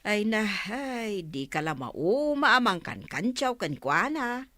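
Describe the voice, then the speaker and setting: A woman's voice: mid-range voice, very animated, takes it slowly, sharp tone, no echo, exaggerated voice. A woman with an Ilocano accent playing a fretful, nagging village woman in an audio drama. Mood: chiding.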